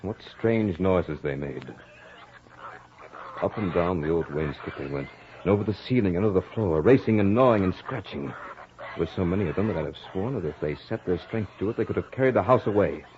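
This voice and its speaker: A man playing a studious, self-assured young gentleman, telling his story in a measured, literary way in the style of 1940s radio drama. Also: low voice, lively intonation, a little hiss or hum. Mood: intrigued, uneasy. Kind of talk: 1940s radio drama acting and first-person narration. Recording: dull old radio recording, music behind.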